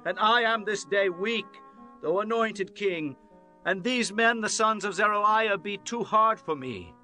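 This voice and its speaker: A man's voice: high-pitched, a little slow, sharp tone. A man playing a grave, commanding, grieving biblical king, declaiming solemnly in a dramatized scripture reading. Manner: solemn, impassioned, archaic declamation. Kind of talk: dramatized scripture, declamatory radio-play acting. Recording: music bed behind the voice. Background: instrumental music.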